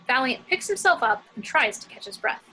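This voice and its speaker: A woman narrates screenplay action from a script. Her voice sits fairly high, with a sharp, forceful edge and some rasp. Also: very animated, fairly quick, poor audio. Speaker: narrator reading action descriptions.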